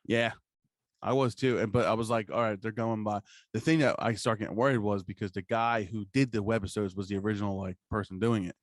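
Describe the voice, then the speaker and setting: A man's voice: lowish voice, fairly even intonation, a little fast, no echo. A man co-hosting a podcast, chatting casually and enthusiastically about pop culture with plenty of swearing and slang. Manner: profane, slangy. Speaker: podcast co-host.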